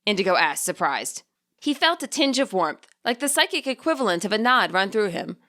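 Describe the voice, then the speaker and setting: A woman reading a fan fiction story aloud as scripted, expressive narration. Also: medium pitch, fairly quick.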